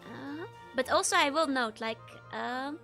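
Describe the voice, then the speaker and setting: A young woman from the Netherlands with a strong Dutch accent and R's that come out soft. She chats and giggles with her viewers in a high, bright voice, and faint game music plays behind her. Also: measured pace, gravelly. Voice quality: soft R's from a speech impediment. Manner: giggly, chatty, answers chat messages. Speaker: VTuber streamer. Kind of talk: casual chat while playing a game.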